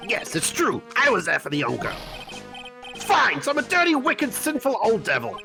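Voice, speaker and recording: Creaky, wildly swooping old man. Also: very high voice, wildly swooping pitch, moderate pace, music in the background, full character voice. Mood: ashamed confessing. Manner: melodramatic, stammering outbursts. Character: crotchety, lecherous old man who feeds pigeons. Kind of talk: fan dub of a visual novel game.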